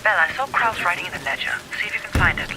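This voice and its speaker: Actor as a terse covert agent over loud noise. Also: mid-range voice, animated, very fast, lightly acted. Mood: urgent, controlled. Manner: urgent, terse instructions. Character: tense covert agent guiding an operative remotely.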